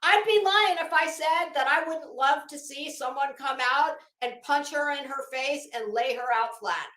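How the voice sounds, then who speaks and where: An American woman hosting a commentary show, reading documents aloud dramatically and adding casual, emphatic asides with drawn-out phrasing. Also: high voice, pushed and bright, reverberant.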